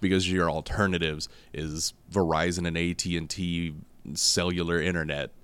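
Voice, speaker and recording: Hoarse guy with a really deep voice hosting a chatty podcast, smooth clear tone, lots of uhs. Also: level tone, moderate pace, fairly bright tone. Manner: rambling, laughs often, many fillers. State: hoarse, lost voice. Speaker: podcast host. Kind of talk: casual news chat.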